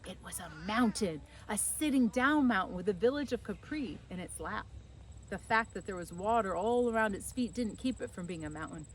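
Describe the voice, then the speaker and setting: A woman's voice: mid-range voice, expressive, moderate pace, slight background noise, low-quality recording. A woman reading a children's story aloud as scripted narration. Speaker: audiobook reader.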